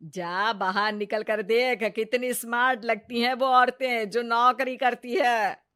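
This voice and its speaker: A woman's voice: a little high, fairly even intonation, takes it slowly, a bit bright. A woman voicing an older, complaining mother-in-law who scolds and grumbles in a story. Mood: nagging.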